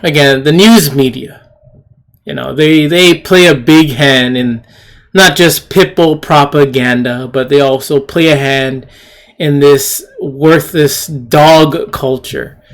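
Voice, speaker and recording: A man hosting an opinion commentary, speaking haltingly and emphatically and often repeating himself. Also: a little high. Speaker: channel host. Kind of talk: opinion commentary over a news clip.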